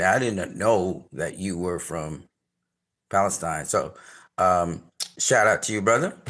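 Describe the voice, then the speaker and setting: Low-voiced Trinidadian man rambling through a trailer. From Trinidad and Tobago. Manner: rambling, many fillers and restarts, gives shout-outs. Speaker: channel host reviewing a trailer. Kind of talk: casual reaction commentary.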